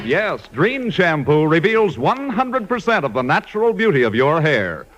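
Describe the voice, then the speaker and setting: An American male radio announcer from the 1940s delivers a polished commercial. His voice is highish and swings with lively emphasis. The recording is dull and lo-fi. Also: moderate pace, over music. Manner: polished sales pitch.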